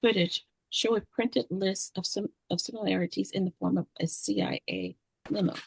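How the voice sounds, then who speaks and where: A woman's voice: mid-range voice, steady tone, moderate pace, vocal fry, breathy edge, no echo, poor audio. A woman narrating the stage directions of a screenplay in a scripted read-through.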